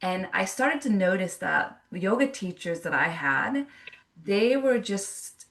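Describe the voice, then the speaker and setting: A woman's voice: mid-range voice, lively intonation, takes it slowly, a bit bright, distant mic. A woman who grew up in Japan, a yoga teacher telling her story in an interview, earnest and reflective with frequent restarts.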